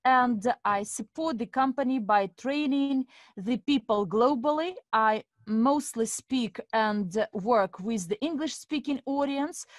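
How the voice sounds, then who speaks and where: A woman's voice: on the high side, slow pace, dry recording, clean studio sound. Woman hosting a webinar. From Estonia. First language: Russian. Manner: formal, addresses audience as dear friends. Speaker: webinar host. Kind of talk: live online webinar presentation.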